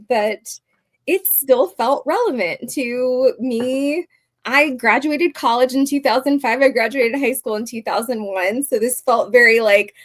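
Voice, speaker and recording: A woman in her early forties co-hosting a casual chat about a film, chatty and quick to laugh. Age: early 40s. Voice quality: higher-pitched voice. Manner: laughs often, chatty and animated. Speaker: podcast co-host.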